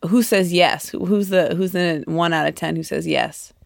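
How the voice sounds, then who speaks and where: A woman's voice: on the low side, moderate pace, gravelly, no room sound, studio quality. A woman interviewing a stranger on a city street, asking wry, probing questions. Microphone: handheld mic.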